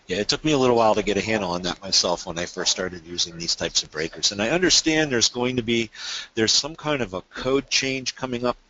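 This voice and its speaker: A man's voice: fairly low pitch, brisk, bright, forceful tone, muffled recording. A man presenting a technical webinar, explaining things casually and asking questions.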